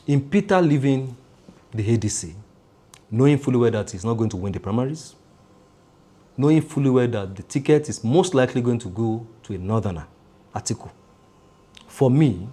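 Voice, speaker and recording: A Nigerian man giving measured political commentary, driving his points home with repeated phrases and lists. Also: lowish voice, darkish tone, breathy edge.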